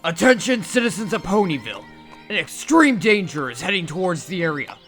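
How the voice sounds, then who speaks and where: Man playing town crier pony, animated, official, urgent, high-pitched, audio drama. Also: brisk, faint music behind. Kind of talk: formal announcement.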